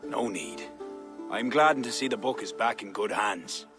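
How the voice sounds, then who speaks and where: A man voicing a dignified medieval Irish High King in a game, formal, deliberate and authoritative. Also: lively intonation, over music, close to an everyday voice. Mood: courteous, composed. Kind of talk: video game cutscene acting.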